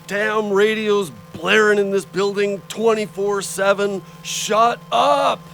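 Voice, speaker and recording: An actor playing a troubled, lonely man, gruff yet tender, in an audio play. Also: sits high, fairly even intonation, takes it slowly, fairly bright tone, slight background noise, exaggerated voice. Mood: irritated, agitated. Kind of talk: audio drama acting.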